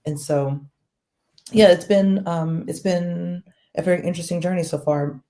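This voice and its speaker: Woman, podcast guest, gravelly voice, dark tone, measured, thoughtful. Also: on the low side, fairly even intonation. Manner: measured and thoughtful, with many fillers. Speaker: guest, political volunteer. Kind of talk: casual interview.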